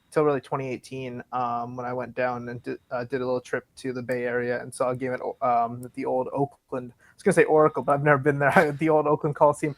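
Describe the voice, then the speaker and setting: A man's voice: medium pitch, completely flat delivery, quick pace, few pauses, no room sound. A young man from Canada, guesting on a podcast, answering in an eager, rambling way with light laughs. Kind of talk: casual interview answers.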